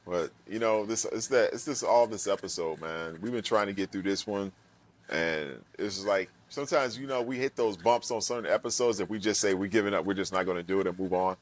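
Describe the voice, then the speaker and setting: A man co-hosting a casual podcast, playful and quick to laugh. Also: fairly low pitch. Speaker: podcast co-host. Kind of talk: casual chat.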